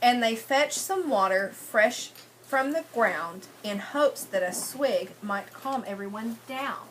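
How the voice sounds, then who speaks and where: High, bright woman reading to children. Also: expressive, takes it slowly, a little hiss or hum, poor audio. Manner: prompting, checks understanding with tag questions. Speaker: teacher reading aloud to children. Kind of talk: picture-book read-aloud with questions to children.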